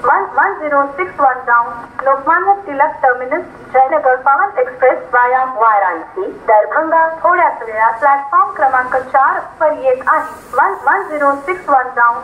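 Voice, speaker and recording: A high-voiced woman with an Indian accent reads formal station announcements in a measured, formulaic way. Her words come through a tinny public-address loudspeaker with a strong echo. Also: lively intonation, slight background noise. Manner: formal, measured, word-by-word delivery. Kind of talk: formulaic station announcement, repeated in several languages. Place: railway station, over loudspeakers.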